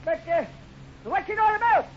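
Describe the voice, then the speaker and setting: A querulous old American watchman, extremely high-pitched and swooping. He is fussy and folksy in old radio serial style, on a muffled, noisy recording. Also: animated, moderate pace. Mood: wary, flustered. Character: fussy, flustered old male night watchman. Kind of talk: 1950s radio serial acting.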